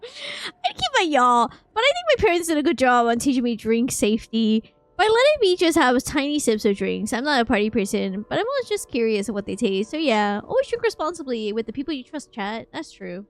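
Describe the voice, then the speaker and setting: A woman streamer reacting casually and playfully to a game, excitable and joking with her chat. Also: high-pitched, fairly quick, hardly any pauses. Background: game music.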